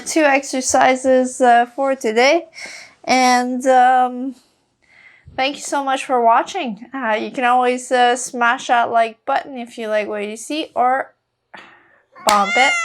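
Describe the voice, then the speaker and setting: A woman with a Quebec French accent, a fitness coach guiding a mobility workout in a relaxed, halting way with many fillers. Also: fairly high pitch. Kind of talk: casual workout instruction.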